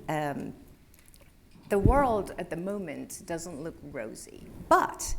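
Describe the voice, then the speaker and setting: A woman moderating a conference panel, speaking politely and with measured pacing, with frequent ums and uhs. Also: lowish voice, slight background noise.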